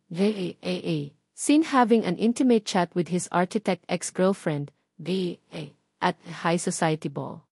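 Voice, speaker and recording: A woman's voice: medium pitch, a little mellow, dry recording, studio quality. A woman with a Filipino accent reading a scripted romantic story as a dramatic audiobook narrator.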